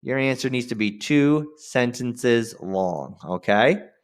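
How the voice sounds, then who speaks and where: A man's voice: medium pitch, expressive, takes it slowly. A man teaching students in an informal, directive instructional style, stressing key phrases and checking in as he guides them through the lesson. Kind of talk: instructional screen-share lesson addressed to students.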